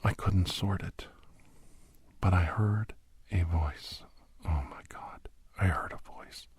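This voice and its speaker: A man narrating a first-person ASMR story in a breathy, whispery voice. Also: low-pitched, slow, darkish tone.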